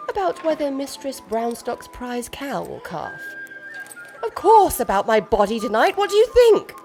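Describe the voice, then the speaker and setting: A woman's voice: on the high side, a little mellow. An actress playing a sardonic, imperious young noblewoman, a reluctant bride in a comic period radio play. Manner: imperious, dry sarcasm, mock-archaic speech. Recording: studio radio play with music.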